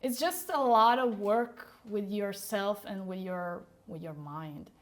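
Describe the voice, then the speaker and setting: A woman's voice: medium pitch, slow. A young woman, a podcast guest speaking candidly in casual conversation, full of "like" fillers.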